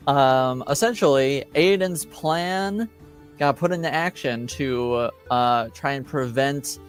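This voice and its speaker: A man casually recapping a tabletop roleplaying session as game master, haltingly, searching for his words. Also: medium pitch, faint music behind.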